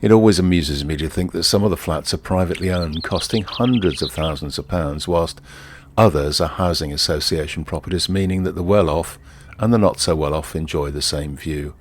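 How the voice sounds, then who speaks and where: An actor playing a wry, easygoing ordinary bloke who confides in the listener in a leisurely first-person monologue. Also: male voice, sits low, a little fast, hardly any pauses. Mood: wry, amused. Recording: outdoor ambience with birdsong behind. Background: birdsong and outdoor ambience.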